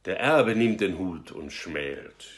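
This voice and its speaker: A man in his fifties reading a verse fable aloud in a theatrical, deliberate storytelling style with emphatic pauses. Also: sits low, expressive. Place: small room at home, indoors.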